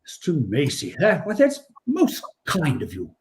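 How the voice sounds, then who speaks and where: A man acts out a kindly old Santa from a forties radio play. His voice sits high and swings freely, with a soft, mellow tone. He speaks in a gentle, courtly way. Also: wildly swooping pitch, unhurried. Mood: merry. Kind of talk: 1940s radio acting.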